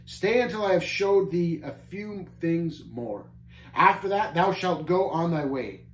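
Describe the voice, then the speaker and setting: A man voicing a solemn, kindly spiritual guide in a storybook tale, speaking in measured, archaic phrases. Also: on the high side, animated. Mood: solemn counsel. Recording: roomy echo, faint background noise.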